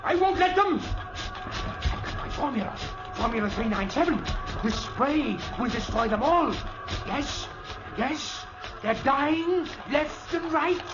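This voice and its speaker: A frantic professor in an old radio play, his high voice leaping all over as he pleads and raves about insects. Music plays clearly under the scene. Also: lively intonation, slow pace, full character voice, poor audio. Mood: raving. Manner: frantic, pleading, slipping into raving. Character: frantic middle-aged male professor, obsessed with insects. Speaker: radio drama actor.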